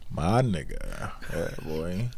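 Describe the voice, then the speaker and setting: Casting: nasal young man, low voice, relaxed podcast co-host, dry close sound. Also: breathy edge, no room sound. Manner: calm and laid-back, many "um" pauses. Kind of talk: casual chat.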